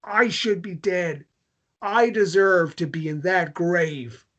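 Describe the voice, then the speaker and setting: A man acting a guilt-wracked, volatile grieving widower at a table read, halting and repeating his phrases. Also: high voice, fairly bright tone. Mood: guilty despair. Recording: dull lo-fi sound.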